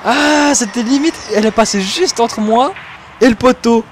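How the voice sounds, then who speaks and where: A young man from Switzerland, commentating his own gameplay excitedly and laughing often. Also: very high-pitched, moderate pace, a little hiss or hum. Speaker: gaming YouTuber.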